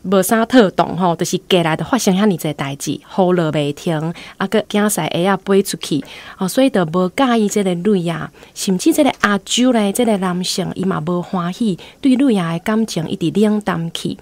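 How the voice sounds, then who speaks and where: A woman's voice: fairly low pitch, normal speed, hardly any pauses, a little mellow, no room sound. A woman with a Taiwanese accent giving explanatory literary commentary as a guest professor, using frequent tag particles.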